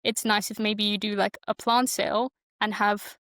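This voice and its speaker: A young woman talks casually as a podcast guest, working out her thoughts as she goes in an informal way. Her pitch stays at a middling height and moves fairly little. The sound is dry, with little room in it. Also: little pitch movement, moderate pace, a bit bright, no echo. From Malaysia, moved to the UK as a child. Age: young adult. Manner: informal, loosely structured, thinking aloud. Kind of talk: casual, reflective discussion.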